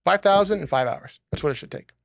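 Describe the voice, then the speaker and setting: A man's voice: sits high, animated, quick pace, gravelly, dry recording, studio quality. A man casually chatting and questioning his co-host on a podcast, in a joking, teasing manner. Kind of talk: casual interview chat.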